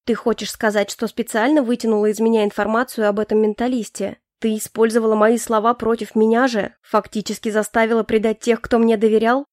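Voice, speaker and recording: A woman reading the lines of a brooding, bitter young nobleman in an audiobook, in a restrained, quietly cutting way. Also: fairly high pitch, little pitch movement, fairly quick, few pauses, dry recording, clean studio sound, lightly acted. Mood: bitter calm.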